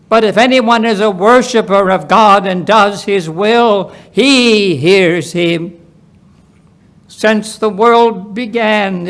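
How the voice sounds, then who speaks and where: A man reading the Bible aloud as a church scripture reader, deliberate and solemn, with emphatic delivery of quoted speech. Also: sits high, fairly bright tone.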